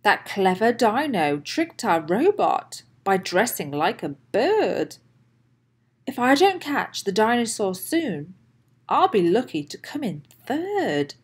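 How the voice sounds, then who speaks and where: A woman reading a children's storybook aloud in an expressive, deliberate way with emphatic phrasing. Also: medium pitch, husky. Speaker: host and children's storybook reader.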